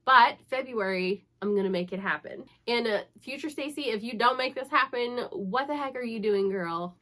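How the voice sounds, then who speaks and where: A woman's voice: fairly high pitch, normal speed, bright, forceful tone. A young woman with a congested voice from the flu, chatting casually and upbeat to camera about her reading plans. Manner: chatty, upbeat, self-teasing. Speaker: book vlogger.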